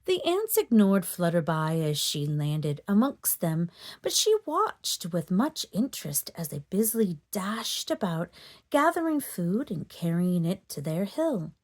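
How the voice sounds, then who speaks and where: A woman storyteller narrating a children's book in an expressive, dramatic read-aloud manner. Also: lowish voice, unhurried. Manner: dramatic, animated delivery for children.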